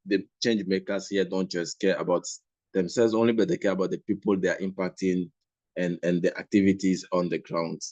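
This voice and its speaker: A man hosting a session, giving courteous, formal remarks and thanks in measured phrases. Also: low-pitched, warm tone, no echo. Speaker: session host.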